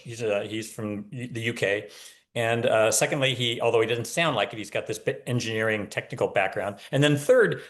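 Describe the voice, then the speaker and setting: A man's voice: medium pitch, a bit bright. A man talking casually as an author guest, explaining point by point with wry humor. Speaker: novelist, podcast guest. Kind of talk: casual interview talk.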